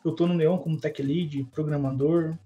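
A man's voice: a little high, moderate pace. Laid-back man talking shop, lo-fi. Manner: relaxed, slangy, fills with "né, cara". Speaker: podcast guest, tech lead. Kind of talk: casual chat. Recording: dull lo-fi sound with faint music.

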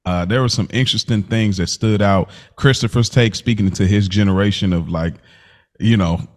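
Deep-voiced man hosting a casual podcast. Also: moderate pace. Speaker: podcast host.

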